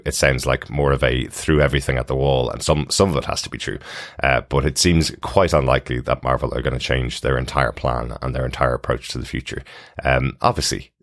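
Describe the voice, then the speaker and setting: A man hosting a podcast, talking casually through entertainment news in a measured, halting explanatory way. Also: rumbling low, fairly bright tone, dry recording, clean studio sound. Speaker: podcast host.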